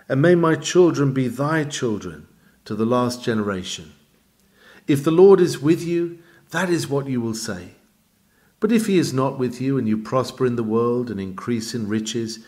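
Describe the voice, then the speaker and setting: A man reading an old sermon aloud in a measured, emphatic, oratorical manner. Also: mid-range voice. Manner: emphatic, measured, formal delivery. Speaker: reader of a historical sermon.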